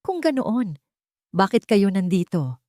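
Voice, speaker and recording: Lady doing a male lawyer in a story, formal, measured, probing, dry sound. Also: mid-range voice, sing-song, mellow, dry recording. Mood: testing.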